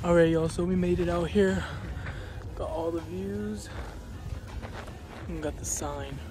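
A young man vlogging a hike into his phone outdoors, excitable and laughing often as he talks to the camera. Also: high-pitched, slow, loud music behind the voice. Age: young adult. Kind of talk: casual hiking vlog. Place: outdoors on a hillside trail. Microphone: phone held at arm's length.

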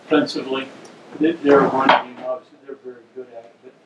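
A man's voice: medium pitch, little pitch movement, fast, highs cut off. A man, a sports reporter asking questions at a press conference. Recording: distant, echoey, noisy room.

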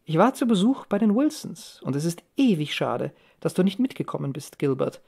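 A woman's voice: lowish voice, big pitch swings, normal speed, gravelly, warm tone, husky, pitched above the performer's own voice, exaggerated voice. A woman reading an audiobook in the role of an eager, chatty young woman full of village gossip. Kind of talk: audiobook character dialogue.

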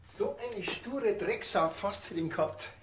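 A man's voice: high voice, a little slow, background noise. A man playing a blunt, sarcastic police officer with a Bavarian accent and a dry, needling manner in a TV crime comedy. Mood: grumbling. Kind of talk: naturalistic TV crime comedy acting.

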